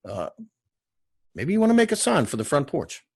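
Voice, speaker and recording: A man's voice: fairly high pitch, huge pitch swings, moderate pace, gravelly, a little mellow, slightly rough, heavily acted. A man acting a chatty, insistent small-town helper in a TV drama read, stammering and repeating himself. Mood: fumbling, earnest.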